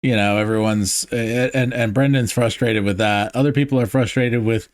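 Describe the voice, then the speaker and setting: A man's voice: medium pitch, steady tone, normal speed, no echo, studio quality. A man hosting a casual podcast conversation, talking hesitantly with many fillers and restarts. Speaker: podcast host. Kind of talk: casual group chat.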